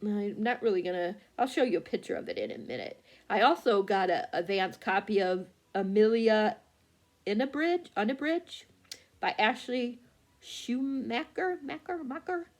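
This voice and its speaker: Woman, book vlogger, mid-pitched voice, casual rambling chat, halting, scattered, self-correcting. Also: expressive. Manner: halting, scattered, often losing her thread and correcting herself.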